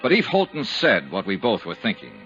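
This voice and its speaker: An actor playing a dogged, hard-boiled insurance investigator in a 1950s radio detective drama, clipped, insistent and methodical. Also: male voice, fairly low pitch, wildly swooping pitch, fairly quick, fairly bright tone, faint music behind. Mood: measured narration. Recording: dull, hissy 1950s radio recording.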